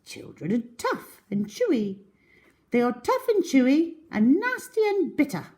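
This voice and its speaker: A woman's voice: high voice, huge pitch swings, a little slow, darkish tone, voice pushed up, exaggerated voice. A woman voicing a smaller, disapproving crocodile in a storybook, blunt, indignant and emphatic. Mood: indignant disgust. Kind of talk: storybook character reading.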